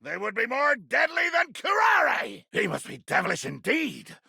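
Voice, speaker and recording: A man voicing a bloodthirsty, irascible pirate captain for a video game, ranting theatrically in archaic sea-dog speech with a gravelly growl. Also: very high-pitched, huge pitch swings, normal speed, sharp tone, dry recording, shouting, clean studio sound, heavily acted. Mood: indignant, menacing. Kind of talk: video game character acting.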